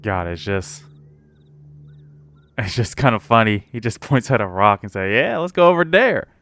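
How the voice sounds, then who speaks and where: A man's voice: lowish voice, very animated, normal speed, fairly bright tone. A man giving casual, offhand commentary while playing a video game. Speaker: gaming streamer. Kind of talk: casual live gameplay commentary.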